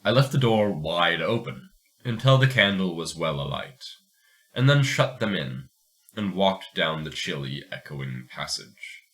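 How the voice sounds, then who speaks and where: A man's voice: low-pitched, very animated, unhurried, fairly bright tone. A man hosting a fiction podcast, reading a story aloud and commenting on it. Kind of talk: dramatic story narration and casual commentary.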